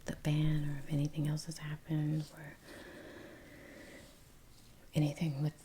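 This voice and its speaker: Slow, low whispering ASMR woman. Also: monotone, slight background noise. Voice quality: breathy whisper. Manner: unhurried, trailing off, murmured musing. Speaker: artist, ASMR video creator. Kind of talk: soft-spoken ASMR painting chat. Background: soft brush and painting sounds.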